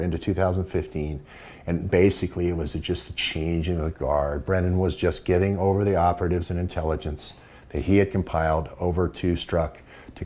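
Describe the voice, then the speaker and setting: A man's voice: sits low, dark tone. A man hosting a show, giving casual commentary that explains and speculates. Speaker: show host.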